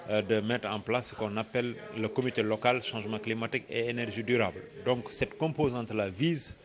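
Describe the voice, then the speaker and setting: A man with a Senegalese accent gives an explanatory interview answer as a project coordinator, phrasing things deliberately and often hesitating or repeating words. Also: mid-range voice, a bit bright.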